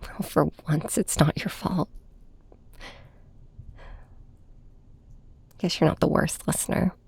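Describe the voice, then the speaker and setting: A woman playing a heartbroken young superhero in an intimate ASMR audio drama, confiding in a villain with halting, raw emotion in a breathy, whispery voice. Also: low-pitched, steady tone, a little mellow, no echo, heavily acted. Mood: weary, bitter. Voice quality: breathy, often dropping to a whisper. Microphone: close microphone, dry.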